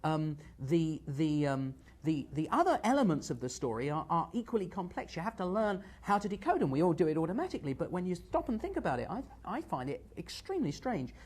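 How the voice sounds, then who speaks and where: A man with a husky voice hosts a live analysis of television news. He talks straight to viewers in a conversational, digressive way, halting and restarting as he thinks. His pitch moves about in a lively way. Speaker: host and media analyst.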